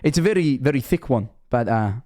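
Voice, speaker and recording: A man's voice: medium pitch, lively intonation, brisk, mellow. A Scottish man of 21 streaming casually, rambling and self-mocking, swearing often.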